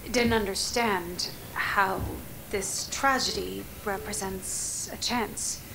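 Haunted woman doctor, earnest, halting, gravelly fry, noisy ambience behind. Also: mid-range voice, steady tone. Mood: earnest, pleading. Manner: halting, intense, hushed at times. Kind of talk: dramatic video game acting.

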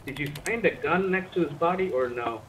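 A man's voice: on the high side, expressive, a little fast, fairly bright tone. A man improvising as a medic in an online roleplay game, talking casually and wryly over voice chat. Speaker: roleplay gamer playing a medic. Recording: noisy gaming voice chat.

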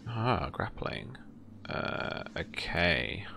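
A young man running a tabletop roleplaying game, narrating and talking through rules casually in a halting, repetitive way. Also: sits low, sing-song, gravelly, background noise. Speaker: game master. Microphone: headset or desk mic, seated indoors on a video call.

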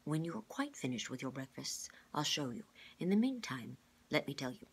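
A woman voicing a grave, patient male scientist in a storybook, explaining in measured, formal words. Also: low voice, big pitch swings, slightly rough, exaggerated voice. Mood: calm.

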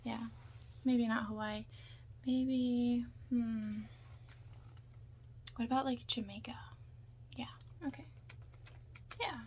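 A young woman doing an ASMR roleplay in whispers and soft talk, hushed, chatty and a little hesitant, recorded close up indoors. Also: fairly high pitch, slight background noise.

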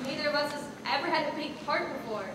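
A teenage girl acting an awkward, nervous high school student in a stage play. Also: fast, lightly acted. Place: large school theatre stage, far camera. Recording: distant echoey auditorium recording, loud noise.

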